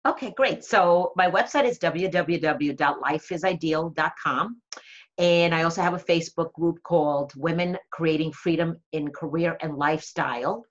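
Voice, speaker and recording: Low-voiced earnest woman on a dull line. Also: normal speed. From Connecticut. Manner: earnest, warm, motivational. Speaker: guest, coach. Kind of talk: casual interview chat.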